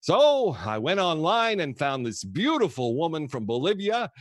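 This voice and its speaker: An actor playing a kooky old grandfather who tells rambling, theatrical, halting stories to his grandchildren in a comedic audio play. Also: on the high side, big pitch swings, no room sound, studio quality. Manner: theatrical, wistful, halting storyteller.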